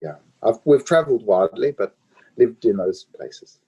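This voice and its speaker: A man from Australia answering interview questions about his life and work, thoughtful and full of pauses and ums. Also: on the low side, very animated, vocal fry, warm tone, husky, muffled recording. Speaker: guest architect.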